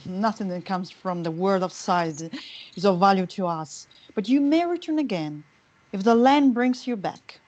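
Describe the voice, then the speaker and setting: A woman's voice: mid-range voice, lively intonation, normal speed, darkish tone, dull audio, close to an everyday voice. A woman playing a stern, aloof commune member in a screenplay read, speaking formally and withholding. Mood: cool, forbidding. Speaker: actor in a screenplay table read.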